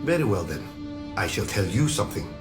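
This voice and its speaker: An actor playing a proud, cold, scheming army general in a period film, speaking in an imperious, deliberate way. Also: male voice, on the low side, sing-song. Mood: cold, pointed. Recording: echoey room, music underneath.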